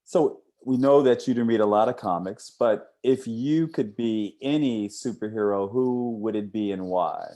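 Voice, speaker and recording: Mellow, low-voiced male interviewer. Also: unhurried, few pauses. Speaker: interviewer, comic creator. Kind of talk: casual interview chat.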